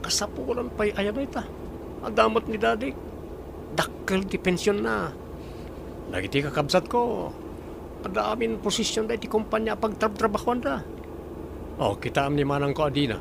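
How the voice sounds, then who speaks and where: This is a carefree young Filipino fiancé who coaxes like a favourite son, with an Ilocano accent. His radio drama voice is high and creaky, over clear background noise. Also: animated, normal speed, long pauses, full character voice. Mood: coaxing.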